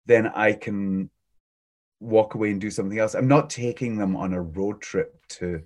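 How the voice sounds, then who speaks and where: A man with a low, booming voice chats about his work as a preschool teacher. He is unhurried and reflective and often restarts his sentences mid-thought. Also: darkish tone. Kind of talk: casual interview chat.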